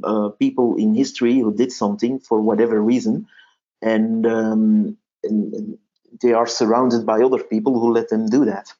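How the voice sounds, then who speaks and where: A man's voice: on the low side, little pitch movement, moderate pace, mellow, lo-fi sound. A Belgian man with a Flemish accent, a native Dutch speaker who hosts a history podcast, chatting casually in an interview and often restarting his sentences with fillers. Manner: hesitant, many restarts and fillers.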